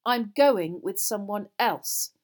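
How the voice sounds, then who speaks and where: A woman voicing a haughty, sharp-tongued teenage schoolgirl with a lofty, acid manner in an audiobook. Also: sits high, sing-song, moderate pace, pitched above the performer's own voice, heavily acted. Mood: angry, acid. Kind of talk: children's fantasy audiobook dialogue.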